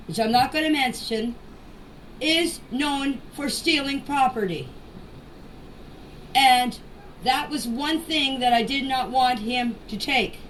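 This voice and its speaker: A mature woman giving an informal spoken statement in a deliberate, halting way, in short phrases, as a voice message. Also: high-pitched. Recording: distant noisy amateur voice clips.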